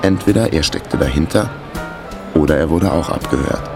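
A man's voice: extremely low pitch, normal speed, music in the background. A man narrating a radio crime drama as a laconic amateur sleuth, in a terse, matter-of-fact way. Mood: laconic, tense. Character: laconic male amateur sleuth telling his own case.

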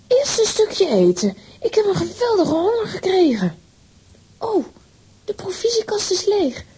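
A woman's voice: extremely high pitch, very animated, measured pace, mellow, heavily acted. An actress playing a spirited, impulsive young girl with dramatic, exclamatory delivery in a children's audio drama. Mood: hungry, curious.

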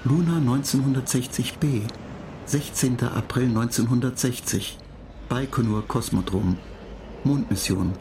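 An actor in a German radio play voicing a cool, superior computer mind, reciting in a detached, measured way. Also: male voice, medium pitch, background noise, lightly acted. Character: cool, superior computer mind lecturing human space travellers. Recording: music behind the voice.

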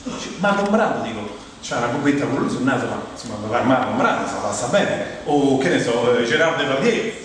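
A man's voice: medium pitch, animated, a little fast, background noise. A man from Rome with a Roman Italian accent, delivering a humorous theatrical monologue in a conversational, colloquial manner full of asides. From Rome, Italy. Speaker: stage monologist. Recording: very echoey hall, distant mic, music. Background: plucked guitar music.

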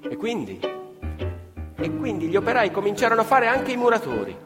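A man's voice: huge pitch swings, moderate pace. A man narrating on stage in a declamatory, ironic storyteller's manner, recorded live. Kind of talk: theatrical narration. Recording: live theatre recording with long reverb, violin and live strings behind. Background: live violin, piano and double bass.

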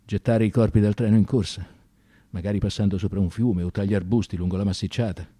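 A man narrating a radio drama as a scripted story, intense and emphatic, with deliberate dramatic pauses. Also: lowish voice, steady tone, warm tone, dry recording, studio quality.

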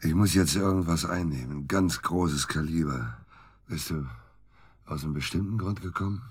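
Husky, very deep-voiced bitter husband. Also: male voice, normal speed. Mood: hungover, irritable. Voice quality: husky low voice. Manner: blunt, emphatic, repeats himself. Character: bitter, hard-drinking husband of middle age, crude and volatile. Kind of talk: naturalistic German radio drama acting.

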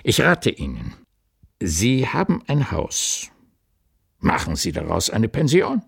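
An actor playing a stern lawyer and worried family friend in a literary radio drama, formal and emphatic. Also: male voice, lowish voice, wildly swooping pitch, takes it slowly, creaky, slightly rough, no room sound, studio quality, heavily acted. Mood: exasperated, urgent.

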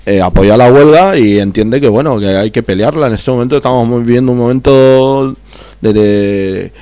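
A man's voice: mid-range voice, moderate pace, dark tone, dry recording. A man with an Argentine accent talking heatedly on a political radio chat, repeating and tripping over his words. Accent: Argentine Spanish.

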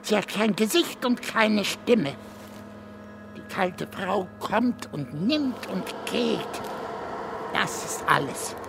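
A man's voice: high-pitched, lively intonation, gravelly, noisy background, full character voice. An older man playing a dying old goatherd in a radio drama, bitter and fatalistic, with a thin, frail, brooding delivery. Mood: grim, brooding. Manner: slow, brooding, ominous.

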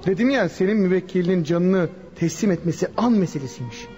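An actor playing a stern, suspicious police guard in a radio drama, challenging and officious in manner. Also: male voice, high voice, lively intonation, fast, mellow, faint music behind. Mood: hostile, suspicious. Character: gruff, hostile, suspicious policeman guarding a patient. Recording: dull lo-fi radio recording.

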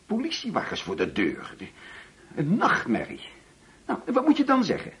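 Radio play father: middle-aged, bitter host, probing, very rough-edged voice. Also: high-pitched, wildly swooping pitch, normal speed, exaggerated voice. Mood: aggrieved, agitated. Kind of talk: Dutch radio play acting.

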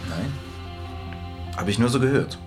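Male actor as earnest awkward new guy, average pitch, natural radio play acting, music clearly behind. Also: lively intonation, unhurried, natural voice. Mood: guarded. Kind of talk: naturalistic radio drama dialogue.

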